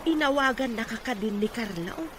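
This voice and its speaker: A woman's voice: a little high, animated, brisk, lightly acted. A woman acting a friendly older village neighbour in a radio drama, with an Ilocano accent. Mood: chatty, helpful. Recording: clearly noisy ambience behind.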